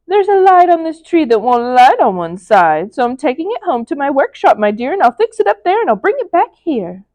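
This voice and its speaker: Woman acting sly grumpy creature, storybook villain, mocking sing-song, high-pitched, very animated. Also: fairly quick, no room sound. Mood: falsely sweet. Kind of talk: expressive storybook read-aloud.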